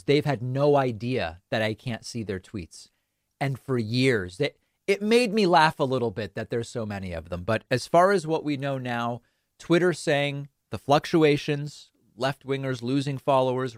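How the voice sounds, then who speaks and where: A man hosting a political commentary show, speaking in a measured, conversational way. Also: mid-range voice, dry recording, studio quality.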